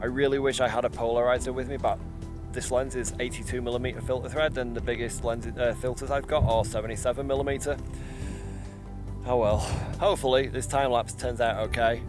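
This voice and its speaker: A man's voice: mid-range voice, steady tone, a little fast. A man in his thirties chatting casually to the camera in a travel vlog, rambling a little, recorded outdoors in a windy open field on a close handheld camera mic. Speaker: vlogger. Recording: background music under the voice.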